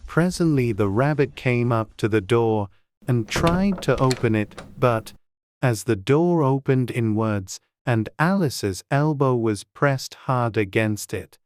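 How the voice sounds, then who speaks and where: A man reads a classic children's story aloud at an unhurried, measured pace. His delivery is gentle and even, and the recording is close and very dry. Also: mid-range voice, no echo. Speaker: audiobook narrator. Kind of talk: scripted storybook narration.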